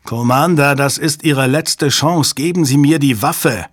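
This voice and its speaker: Man acting a frantic, high-strung hostage-taker. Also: measured pace, no room sound. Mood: threatening. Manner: shrill, frantic, shouting threats. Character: desperate, panicking younger male hostage-taker, threatening and pleading. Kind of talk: dramatic audiobook character voice.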